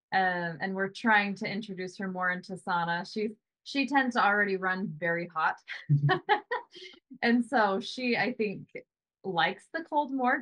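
A woman's voice: medium pitch, sharp tone, poor audio. A woman in her thirties, a sauna business owner, chatting casually on a video call from home, often tagging "right?" onto her points. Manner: chatty, hedging with "you know" and "right?".

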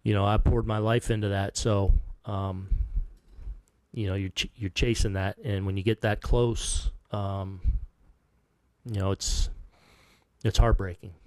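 A heartbroken man in his fifties answers reporters' questions, stopping and restarting often. His voice sits low and barely moves in pitch. The table mic sounds close and dry. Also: flat delivery, dry recording. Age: 50s. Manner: halting, soft-spoken, many restarts and fillers. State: heartbroken, subdued. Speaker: baseball manager. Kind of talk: postgame press conference answers. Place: press conference room. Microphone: table microphone.

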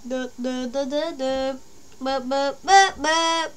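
High-voiced woman gamer chatting. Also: steady tone, slow pace. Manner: chatty, jokey, talks to herself. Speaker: let's play gamer. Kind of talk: casual gameplay commentary.

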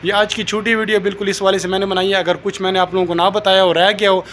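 A man's voice: high voice, flat delivery, quick pace, fairly bright tone. A young man from South Asia explaining things informally to camera as a vlogger, speaking into a phone held at arm's length. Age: young adult. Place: open rooftop outdoors in daylight. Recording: outdoor ambient noise.

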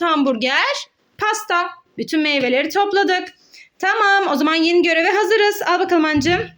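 A young woman playfully hosting a children's game as a mother, encouraging and coaxing in an animated, sing-song way. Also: high-pitched.

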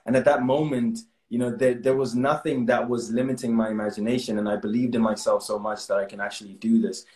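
A man's voice: medium pitch, flat delivery, quick pace, a little mellow, roomy sound. A young man from Zimbabwe, an actor guest talking earnestly and chattily on a video call from a small room, laughing often. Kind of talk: casual interview over video call. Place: small room indoors at home.

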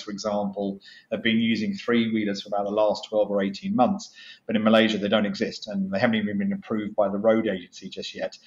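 A man in his fifties with a low voice speaks as an executive guest in an interview. He is emphatic and conversational, dropping in asides as he goes. The sound is roomy and slightly dull, like a computer microphone on a video call in an office. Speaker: postal company chief executive, interview guest. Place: office, indoors.

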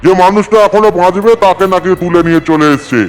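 A man's voice: sits high, fast talker, soft background music, lightly acted. A man voicing a stern lord of death in a cartoon, scolding and giving commands over sinners. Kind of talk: Bengali cartoon voice acting.

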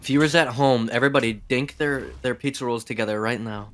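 A man's voice: medium pitch, fairly even intonation, brisk, a bit bright, dry recording. A young man co-hosting a gaming show, teasing and crude in casual banter. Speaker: let's play co-host.

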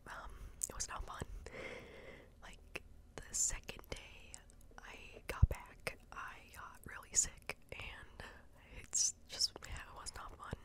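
A woman in her twenties whispering a rambling ASMR storytime, a little congested, with lots of ums and likes, close on a studio mic in a dark room. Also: slow. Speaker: ASMR creator. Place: dark bedroom, indoors.

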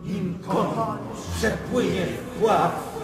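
Distant, echoing otherworldly spirit. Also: male voice, sits high, steady tone, very slow, over loud music, exaggerated voice. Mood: visionary, mocking. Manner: solemn, incantatory verse. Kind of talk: poetic radio drama acting. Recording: echoey, distant from the microphone.

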